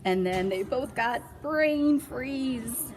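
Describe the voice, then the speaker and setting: A woman storyteller reads a picture book to children with playful drama. Her fairly high voice is highly animated and very slow, over faint background noise. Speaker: read-aloud storyteller. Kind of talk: expressive children's picture book reading with asides.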